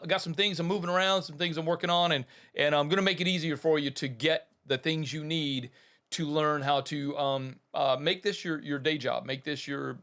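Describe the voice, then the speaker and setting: Chatty man, slightly high voice. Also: fairly bright tone. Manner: rambling and chatty, many fillers and restarts, keeps saying "man". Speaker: audio production tutor and channel host. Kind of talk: casual talk to viewers, then reading a short story.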